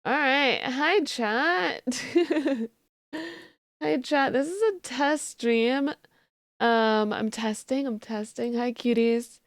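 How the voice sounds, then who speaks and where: Sleepy girl streamer chatting w chat, kinda high voice, dry close sound. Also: slow, no echo. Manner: chatty, thanks viewers warmly and giggles. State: sleepy, just woke up. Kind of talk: casual live-stream chat.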